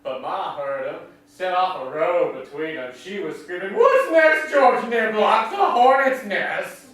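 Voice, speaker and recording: A man's voice: high-pitched, expressive, unhurried, a bit bright. A man playing a genial small-town countryman in a stage drama, talking in a folksy rural dialect. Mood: amused. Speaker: actor in a staged reading. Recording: echoey hall, distant mic, faint noise.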